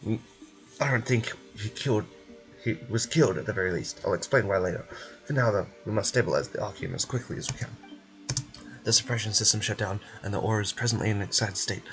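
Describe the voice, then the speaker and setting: Man playing a low, grave game researcher. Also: over music, natural voice, rough recording. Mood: calm, explanatory. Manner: measured, formal, expository.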